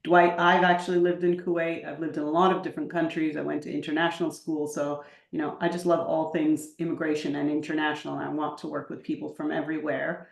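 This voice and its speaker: An American woman with a Washington DC accent speaks in a low voice over a video call. She talks chatty and enthusiastic, with plenty of ums. The room around her echoes noticeably. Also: little pitch movement, fast, creaky, hardly any pauses. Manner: chatty, filler-heavy, enthusiastic. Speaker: UK immigration advisor. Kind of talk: webinar self-introduction.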